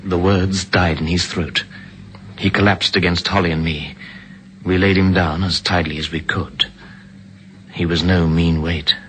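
An actor narrating and playing a brisk traveller in an old radio drama, speaking in a crisp, clipped and commanding way. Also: male voice, sits low, steady tone, fairly bright tone, a little hiss or hum. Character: male narrator-hero, brisk English traveller.

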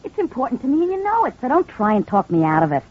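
An actress playing a stubborn, scheming American wife in an old crime radio drama, slangy and insistent. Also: female voice, high-pitched, wildly swooping pitch, fast, husky, exaggerated voice. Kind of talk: 1940s-50s crime radio drama acting. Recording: muffled old radio recording with noise.

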